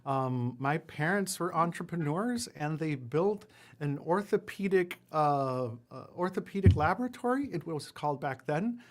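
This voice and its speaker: Casting: fairly high-pitched man, forties, Spanish first language, rambling and self-deprecating. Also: lively intonation, moderate pace. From Puerto Rico. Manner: rambling storyteller with frequent fillers and self-deprecating asides. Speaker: guest, lawyer and entrepreneur. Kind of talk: casual interview chat.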